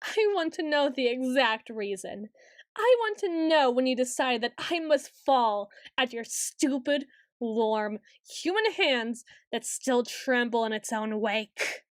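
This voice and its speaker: High-voiced haughty vampire noblewoman, acted by a woman. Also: expressive, slow pace, no room sound, clean studio sound. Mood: teasing, suspicious. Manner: theatrical, formal, archaic, condescending phrasing. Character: haughty, centuries-old tsundere female vampire noble. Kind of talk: ASMR roleplay monologue.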